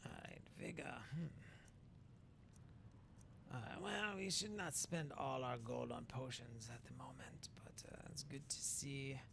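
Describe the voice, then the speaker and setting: A man roleplaying a hazy-minded dark elf shaman in a video game, muttering and rambling hesitantly as he muses aloud. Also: mid-range voice, measured pace, gravelly. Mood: musing, muttering. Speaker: player roleplaying his game character. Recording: noisy background with game ambience.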